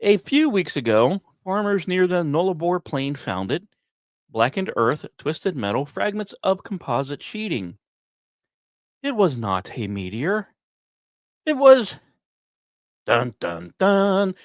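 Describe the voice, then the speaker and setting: A man hosting a podcast, reading scripted narration in a dramatic storytelling manner with pauses and wry, casual asides. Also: high voice, big pitch swings, no room sound, clean studio sound. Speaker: podcast host.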